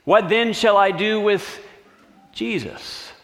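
A man's voice: high-pitched, takes it slowly, a bit bright, in a large hall, voice pushed up, heavily acted. A man reading aloud, voicing a troubled Roman governor who pleads with a crowd in urgent, raised questions.

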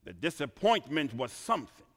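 A man from Trinidad preaching a sermon in a church, swinging from quiet asides to shouted emphasis. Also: on the high side, huge pitch swings, moderate pace, slightly rough. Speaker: pastor. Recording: reverberant church hall.

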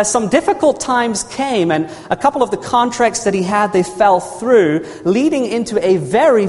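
A man from New Zealand preaching a sermon in a church hall. He tells his story earnestly, repeats phrases and calls for amens. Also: sits high. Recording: long reverb of a large hall.

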